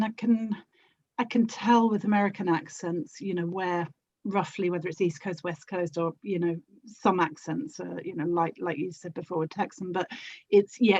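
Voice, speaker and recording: A woman's voice: on the low side, darkish tone. A British woman chatting casually as a podcast guest on slightly dull, band-limited audio. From United Kingdom. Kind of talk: casual conversation.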